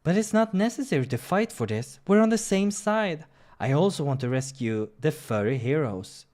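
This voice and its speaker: A man's voice: fairly high pitch, lively intonation, moderate pace, darkish tone, natural voice. A man voicing a kindhearted young kitten hero in a visual novel, earnest and pleading.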